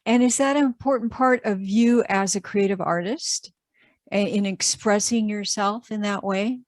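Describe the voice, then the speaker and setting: A woman's voice: medium pitch, normal speed, no echo. Encouraging female interviewer, dry sound. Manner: encouraging, affirming, gentle questions. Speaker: interview host.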